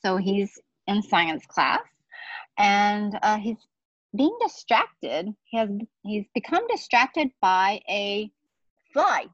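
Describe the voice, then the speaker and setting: A woman in her forties reads a children's book aloud at an unhurried pace. Her pitch moves around a lot as she explains things to young listeners. The sound is close and dry, slightly muffled and lo-fi. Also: sing-song, no echo. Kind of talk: storytime read-aloud with casual asides to children. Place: outdoor patio beside trees.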